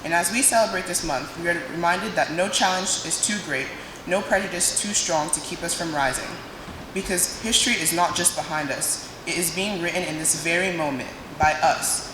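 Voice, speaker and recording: A young woman from Nigeria, giving a prepared student speech in a dramatic, emphatic way with pauses. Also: lowish voice. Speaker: student speaker. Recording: echoey hall, noisy room sound.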